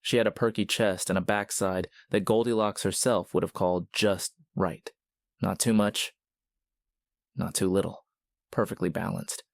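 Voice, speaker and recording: A man narrating a scripted story in a measured, literary manner. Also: deep voice, level tone, gravelly, no echo, clean studio sound.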